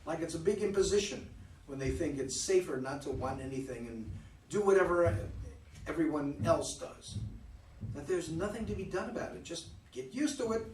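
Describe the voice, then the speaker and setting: A man's voice: on the high side, lively intonation, moderate pace, darkish tone, lightly acted. Man playing an earnest former fiancé, distant roomy sound. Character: earnest, disillusioned man explaining himself to his former fiancée. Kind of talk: dramatic stage play reading. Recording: roomy, distant microphone, faint noise.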